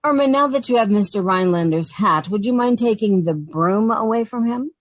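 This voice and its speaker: A woman's voice: medium pitch, animated, fairly quick, no room sound, lightly acted. A woman playing an anxious, sardonic young roommate who also narrates, in an old-time radio sitcom style. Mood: strained politeness. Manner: wry, long-suffering, nervous.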